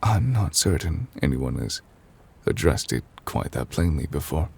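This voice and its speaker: An ancient, immortal chief justice played by a man speaks in a very deep, husky voice for an ASMR roleplay. He is solemn and gentle, with formal, halting phrases that come very slowly, as if softly confiding. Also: very animated, exaggerated voice. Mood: weary, reflective. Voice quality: gravelly, husky, breathy.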